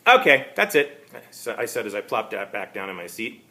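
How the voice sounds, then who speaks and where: A man giving an amateur reading of a first-person story, narrating in a halting, wry way. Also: lowish voice, fairly even intonation, bright, forceful tone. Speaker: author reading his own story.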